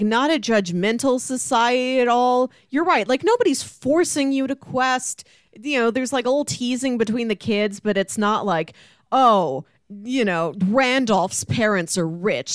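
Higher-pitched woman chatting, close dry sound. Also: dry recording. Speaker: podcast co-host discussing a graphic novel. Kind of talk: casual book-discussion chat.

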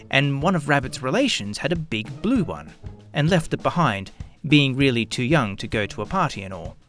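A man hosting and narrating a children's story in a theatrical, expressive, deliberate storyteller style. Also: medium pitch. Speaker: host and narrator of a radio play show.

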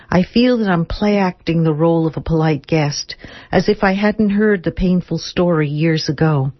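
A woman hosting a radio program, reading a personal first-person story in a measured, reflective way. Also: low-pitched, muffled recording. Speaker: radio host reading her memoir piece.

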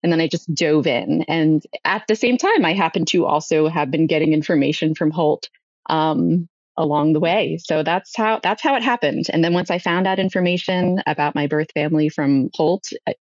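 Low-voiced woman telling a personal story. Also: moderate pace, no room sound, muffled recording. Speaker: podcast guest. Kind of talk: personal storytelling in a conversational interview.

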